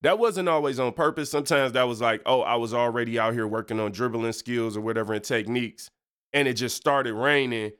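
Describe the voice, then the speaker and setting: A man's voice: medium pitch, fairly even intonation, fairly quick, fairly bright tone, clean tone. A young man, stuffed up from a cold, hosting a casual faith podcast in loose, slangy talk full of fillers and asides. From Michigan. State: congested. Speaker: podcast host and preacher.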